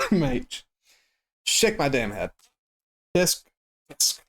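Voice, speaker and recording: A man streaming a game who chats casually in a flat, stoic way and swears freely. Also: on the high side, very slow. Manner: monotone and stoic delivery. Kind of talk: casual chat while gaming.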